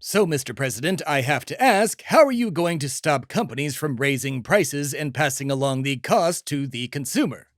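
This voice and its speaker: A man's voice: fairly high pitch, expressive, normal speed, hardly any pauses, no echo, clean studio sound. Pompous, grandiose male comedy host. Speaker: podcast host. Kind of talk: scripted comedy interview.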